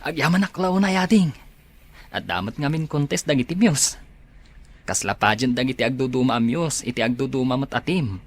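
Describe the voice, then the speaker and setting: A man's voice: mid-range voice, lively intonation, moderate pace. A man playing an earnest young basketball player in a Filipino radio drama, speaking politely and coaxingly. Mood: flattering.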